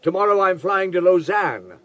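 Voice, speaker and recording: An actor in a radio drama playing a terse, commanding police chief who gives clipped, matter-of-fact orders. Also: male voice, high-pitched, big pitch swings, normal speed, a bit bright, highs cut off. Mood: brisk, dry.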